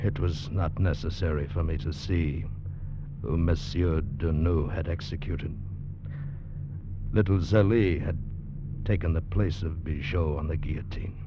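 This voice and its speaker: An actor playing a grim convict witness who solemnly narrates a tale of horror in 1940s radio drama style. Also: male voice, very deep voice, steady tone, heavy background noise. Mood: somber. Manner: solemn, dramatic, deliberate storytelling. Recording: muffled old radio recording with music.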